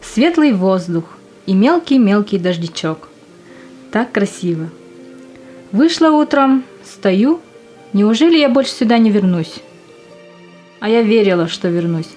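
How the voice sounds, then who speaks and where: A woman's voice: mid-range voice, a little mellow, natural voice. An actress playing a determined young woman volunteering for the front, earnest and insistent, in a theatrical audio drama. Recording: music under the voice.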